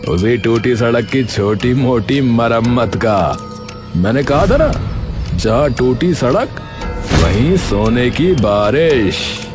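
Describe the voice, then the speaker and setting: A man playing a greedy, corrupt village schemer in an animated story, smug and mocking, with music behind. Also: medium pitch. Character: gloating, greedy corrupt village politician and contractor cronies. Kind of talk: animated moral story acting.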